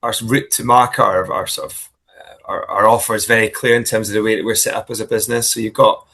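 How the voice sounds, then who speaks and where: A man with a Scottish accent, in his thirties, answering interview questions in a friendly, halting way with frequent restarts. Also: lowish voice, level tone, pushed and bright. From Scotland. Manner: friendly, hesitant, many restarts and fillers. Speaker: guest, business leader. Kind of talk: casual interview conversation.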